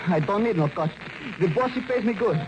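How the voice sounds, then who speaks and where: A naive, hot-tempered young thug in an old radio crime play. His voice is high, with a Spanish accent and defiant broken English, on a noisy vintage recording. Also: animated, fairly quick, muffled recording. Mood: defiant, evasive. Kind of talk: 1940s radio drama acting.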